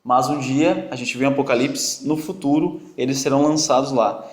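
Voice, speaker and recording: A man with a strong non-native accent teaching a Bible study class, quizzing and teasing his students in a lively, joking way. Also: medium pitch, normal speed. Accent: strong non-native accent in Portuguese. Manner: jokey, quizzes and teases students. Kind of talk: interactive classroom teaching with questions to the group. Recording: echoey room.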